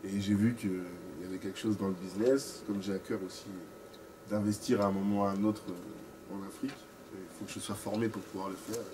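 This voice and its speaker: A man from the Central African Republic introducing himself quietly to a group. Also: lowish voice, steady tone, fairly quick. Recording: distant mic, noticeable room noise.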